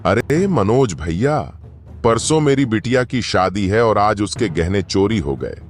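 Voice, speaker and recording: A man's voice: fairly low pitch, lively intonation, measured pace, faint music behind. A man voicing a poor, humble villager who pleads for help in a cartoon moral story. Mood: pleading.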